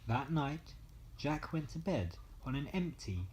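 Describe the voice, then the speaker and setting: A man narrating a bedtime story as an expressive storyteller. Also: fairly high pitch, unhurried, slight background noise.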